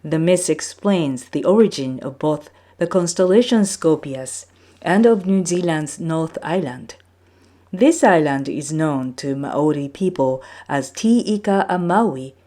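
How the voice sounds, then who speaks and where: A woman's voice: low-pitched, animated, slow pace, dark tone. A woman storyteller reading a scripted folktale aloud as narration.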